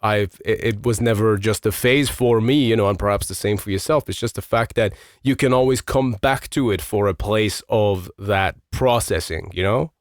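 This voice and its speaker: A man's voice: fairly low pitch, normal speed, few pauses, dry recording, studio quality. Thoughtful male podcast host, native Farsi speaker. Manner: thoughtful, rambling with fillers. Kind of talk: casual conversation and read promo.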